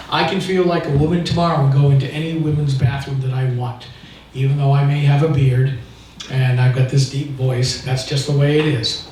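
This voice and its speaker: An American man giving an informal talk at a local meeting, telling his story in an emphatic, indignant, explanatory way. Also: a little high. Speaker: activist speaking at a local political meeting. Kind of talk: informal public talk, recounting an anecdote. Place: indoor meeting room, recorded from a distance. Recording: echoey room, distant mic, faint noise.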